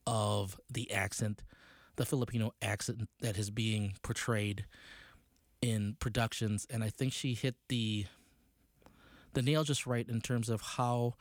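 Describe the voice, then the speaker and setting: A man in his late forties talks through a play he has just seen, pausing often and filling the gaps with "uh". His voice is mid-pitched and plain, recorded dry and close with no room sound. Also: dry recording. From United States. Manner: unhurried, halting with fillers. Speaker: community news reporter. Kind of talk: review of a play.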